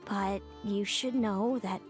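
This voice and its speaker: An actress playing a composed, courteous young rival in an anime-style video game, speaking in a measured, gracious manner. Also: female voice, medium pitch, fairly even intonation, faint music behind, close to an everyday voice. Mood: gentle, earnest. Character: composed, courteous young rival competitor, gentle older sibling.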